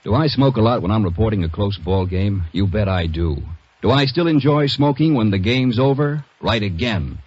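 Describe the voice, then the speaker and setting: Husky low man, radio testimonial. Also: lively intonation, moderate pace, a little mellow. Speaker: testimonial speaker in cigarette ad. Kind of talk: scripted 1950s radio testimonial. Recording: dull old radio broadcast.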